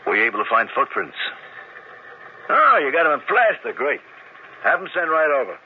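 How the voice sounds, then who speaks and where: A brisk police sergeant working the phone. His voice moves briskly up and down as he talks business. The sound is tinny, like an old radio broadcast. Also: mid-range voice, wildly swooping pitch, slight background noise. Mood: businesslike.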